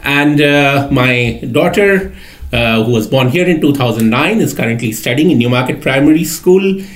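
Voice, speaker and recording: A middle-aged man talks methodically about himself, filling pauses with "uh". His voice sits at an ordinary pitch for a man. He sounds like a lecturer guest on a podcast. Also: animated. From India. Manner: methodical, with frequent uh fillers. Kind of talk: podcast interview.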